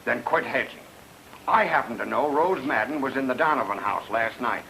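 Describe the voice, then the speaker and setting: Casting: hard-pressing vintage police chief, sharp and animated, faintly noisy old soundtrack. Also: male voice, mid-range voice, normal speed, vocal fry. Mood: accusing, pressing. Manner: brusque, accusing. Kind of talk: 1940s film noir dialogue.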